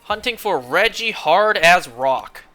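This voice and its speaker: A young man streaming a game, chatting casually and rambling, clearing his throat often as he is losing his voice. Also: high-pitched, animated, slow pace, pushed and bright, faint music behind.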